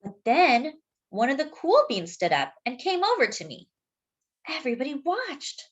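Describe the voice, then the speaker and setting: A woman reading a children's picture book aloud, pausing to point out details in the pictures. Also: high voice, big pitch swings, muffled recording. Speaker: children's storyteller.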